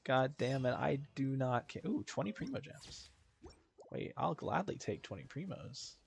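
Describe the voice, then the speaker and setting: A man doing casual, informal gameplay commentary on a livestream, thinking out loud and swearing freely. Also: mid-range voice, sing-song, measured pace, vocal fry, slight background noise. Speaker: game streamer. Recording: game music behind the voice. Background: video game music.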